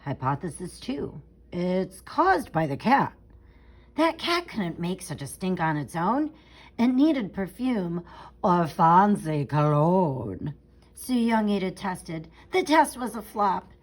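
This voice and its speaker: Animated woman reading a children's storybook. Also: on the low side. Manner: theatrical, emphatic, with drawn-out key words. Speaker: storybook reader. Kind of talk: expressive children's picture book read-aloud in rhyme.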